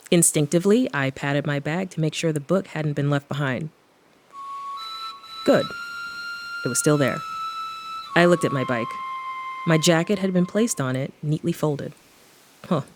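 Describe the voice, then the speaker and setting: An actress playing an irreverent, cocky trickster adventurer in an audio drama, speaking and narrating in a casual, wry, flippant way. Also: female voice, deep voice, slight background noise, lightly acted. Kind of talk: first-person audio drama narration and dialogue.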